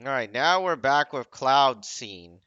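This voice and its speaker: A man's voice: mid-range voice, animated, takes it slowly, bright, forceful tone, clean tone, muffled recording. A man giving casual running commentary on video game scenes, chatting to viewers and putting questions to them. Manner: conversational, addresses viewers with questions.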